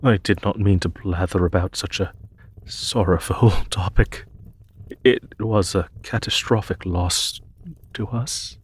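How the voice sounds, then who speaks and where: A man playing a courteous, war-weary demon emperor in an intimate audio roleplay, speaking formally and gently with a husky voice. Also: deep voice, full character voice. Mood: apologetic, sorrowful. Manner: formal, measured, gently spoken.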